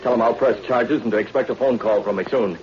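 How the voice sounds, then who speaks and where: Actor as low-voiced American undercover agent, old radio. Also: male voice, fast, muffled recording, lightly acted. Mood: urgent, commanding. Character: quick-witted American male undercover agent, cool and commanding. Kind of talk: 1940s radio adventure acting. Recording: noisy, band-limited old radio recording.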